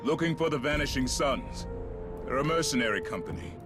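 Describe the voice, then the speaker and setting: An actor playing an earnest, determined soldier in a dramatic video game, speaking tersely. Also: male voice, medium pitch, lively intonation, fairly quick, close to an everyday voice. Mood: earnest, questioning. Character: earnest, determined male soldier far from home. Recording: loud game music behind the voice.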